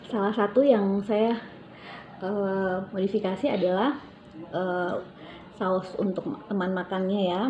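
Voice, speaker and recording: A woman walks through a recipe in her home kitchen, friendly and explanatory, pausing often on little hesitations. Her voice sits at an ordinary middle pitch. The audio is a little dull, with a faint hum of kitchen noise behind her. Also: fairly even intonation, darkish tone. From Papua, Indonesia. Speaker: cooking vlogger. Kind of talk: casual cooking demonstration.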